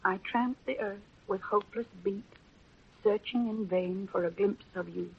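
An actress playing an emotional, earnest young reporter in 1930s radio acting style, on a muffled old broadcast. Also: female voice, medium pitch, normal speed, creaky, husky. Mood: tender, tearful. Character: young woman newspaper reporter, torn and remorseful.

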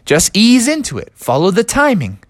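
A man voices an excitable storybook frog dad who urges his son on. The pitch jumps high and swoops with lively emphasis, in a dry close recording. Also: normal speed, dry recording. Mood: urging. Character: encouraging frog father, excitable, urgent coach to his son.

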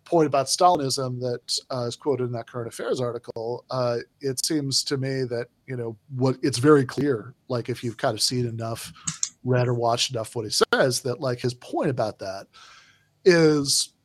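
A man's voice: medium pitch, normal speed, no echo. A man in casual political discussion, talking in a rambling way with many fillers and restarts.

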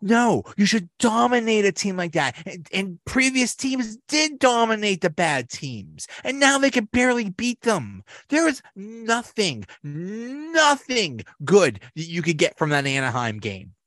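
Slow, lively-voiced man hosting. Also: high-pitched, no echo. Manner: slow, lively intonation, reacts with drawn-out exclamations. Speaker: podcast host. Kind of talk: casual sports chat.

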